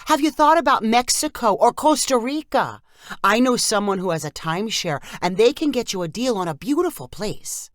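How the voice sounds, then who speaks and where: A woman narrator acts out a middle-aged aunt in a storybook, pushy but well-meaning. She gives advice emphatically, her pitch rising and falling widely. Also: lively intonation, normal speed, no echo, clean studio sound, natural voice. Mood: emphatic. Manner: emphatic, advising.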